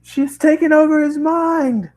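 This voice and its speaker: Man putting on a shrill, yelling paranoid scientist. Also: steady tone, a little slow. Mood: alarmed.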